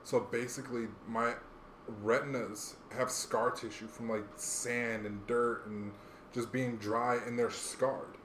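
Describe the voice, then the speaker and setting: An American man co-hosts a podcast in loose, casual talk full of slang and profanity. His mid-pitched voice wanders from point to point. The room echoes noticeably, with faint noise behind him. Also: level tone, slow pace, fairly bright tone. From Hawaii, United States. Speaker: podcast co-host. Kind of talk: casual chat. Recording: roomy echo, faint background noise.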